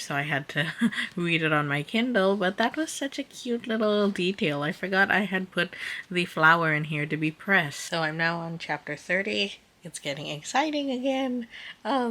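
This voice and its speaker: A woman speaks in a casual vlog style with a voice pitched a little below average. She is chatty and informal, thinking aloud as she shares reactions to her reading. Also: pushed and bright. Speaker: book vlogger.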